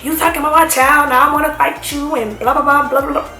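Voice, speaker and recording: A woman's voice: sits high, fast. A young woman telling a story to camera in a casual, slangy, emphatic way, talking straight to her viewers. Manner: animated, slangy, emphatic, addresses viewers as y'all. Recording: echoey room with faint music.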